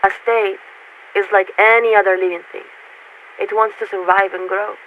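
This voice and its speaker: An actress playing a formal, detached warship officer who speaks for a committee in clipped, measured phrases through a radio filter in a video game. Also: female voice, medium pitch, fairly even intonation. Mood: measured, lecturing. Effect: radio transmission filter. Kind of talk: scripted video game voice acting. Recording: tinny, narrow-band radio with static.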